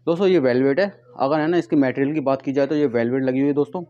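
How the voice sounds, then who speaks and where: A man casually pitching products to camera, often addressing viewers as friends and leaning on repeated verbal tics. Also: quick pace, mellow, dull audio. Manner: repetitive filler phrases, addresses viewers as friends. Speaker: vlogger and shoe seller. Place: tiled room at home with stock boxes, indoors. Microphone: clip-on lapel mic. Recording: noticeable background noise, clicks.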